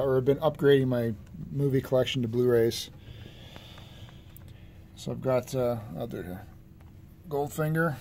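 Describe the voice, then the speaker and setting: A man with a mellow, dark-toned voice of medium pitch casually runs through items in a terse, plain way. It is a homemade phone recording made indoors, with noticeable noise in the background. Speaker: podcast co-host showing collection pickups. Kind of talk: casual chat, listing items shown. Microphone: handheld phone camera mic.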